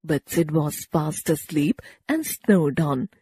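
A woman narrating a children's story in a measured, expressive storybook style. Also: low-pitched, slightly rough.

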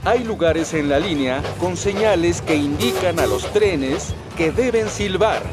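A man narrates a children's cartoon in Latin American Spanish. His voice is high for a man and very animated, with music behind it. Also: a little slow.

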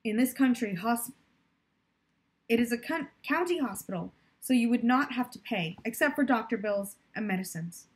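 A young adult woman reads a children's story aloud. Her voice sits a little high and has a husky edge. She delivers it as narration, like a teacher reading to a class. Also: lively intonation, normal speed. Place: plant-filled room indoors by a window.

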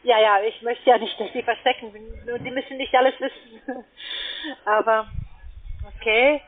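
High-voiced woman on a tinny phone line. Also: steady tone. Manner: hesitant, halting, persuading. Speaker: call centre trading salesperson. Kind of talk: unscripted sales phone call. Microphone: telephone.

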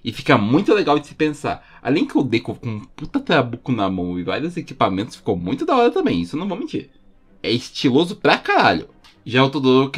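A man with a Brazilian accent giving enthusiastic, informal fan commentary as a YouTube host, using plenty of slang. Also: medium pitch. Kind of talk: casual fan commentary voice-over. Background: music under the voice.

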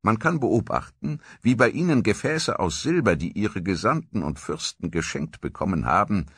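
A man giving dramatic scripted documentary narration with theatrical, deliberate storytelling. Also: on the low side, lively intonation, fairly bright tone, breathy edge, dry recording.

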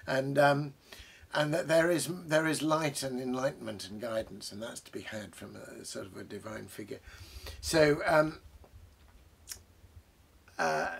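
A British man in his sixties, a host talking about books and reading aloud, reflective and savouring his phrases with deliberate pauses. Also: medium pitch, creaky, a little hiss or hum. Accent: British English. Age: 60s. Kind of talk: bookish commentary and reading aloud. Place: small book-crammed study, indoors.

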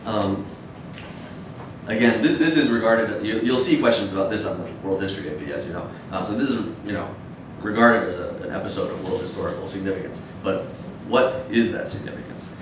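Male academic, deep voice, measured and hesitant lecture delivery, recorded from afar in a reverberant room. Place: dim wood-shelved seminar room with audience, indoors. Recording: echoey room, distant mic, noisy rumble.

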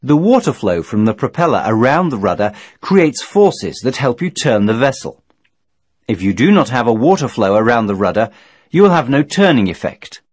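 A man reading scripted instructional narration in a measured, formal, didactic manner. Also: medium pitch, very animated, darkish tone, slightly rough, dry recording. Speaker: training video narrator.

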